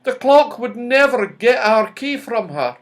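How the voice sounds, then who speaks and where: A man acts out a young female fairy from a children's storybook. She is sweet and excitable, with a high, expressive voice that moves freely up and down. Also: unhurried, heavily acted. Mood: doubtful. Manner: expressive, exclamatory.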